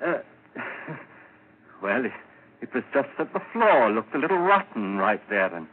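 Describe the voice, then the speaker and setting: An actor playing a guilt-ridden, panicky man hiding a murder in melodramatic, halting 1940s radio horror style. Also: medium pitch, big pitch swings. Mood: nervous panic. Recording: scratchy, narrow-band old radio recording.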